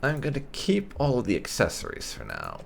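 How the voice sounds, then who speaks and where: A man's voice: mid-range voice, huge pitch swings, brisk, vocal fry, slight background noise. A man hosting a gaming video, giving casual, wry commentary as he muses to himself while playing. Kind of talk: casual roleplay game commentary.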